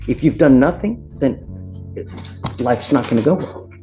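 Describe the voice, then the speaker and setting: Blunt American judge, mellow-voiced man. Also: medium pitch, lively intonation, normal speed. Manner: blunt, scolding, colloquial. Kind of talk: stern courtroom address from the bench. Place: courtroom. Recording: courtroom audio with background music.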